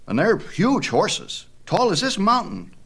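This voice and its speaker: A man's voice: high-pitched, huge pitch swings, slow pace, creaky, a bit bright, voice pushed up, heavily acted. A man voicing a proud, defensive young boy, earnest and stubborn, in a mythology storybook. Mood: defensive bluffing. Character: proud, defensive boy bluffing about his divine father.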